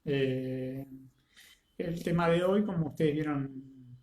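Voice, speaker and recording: A man with a Rioplatense Spanish accent, congested with a cold and fever, greeting students by name before a live online lecture, with many halting fillers. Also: a little high, a little mellow, reverberant. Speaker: teacher.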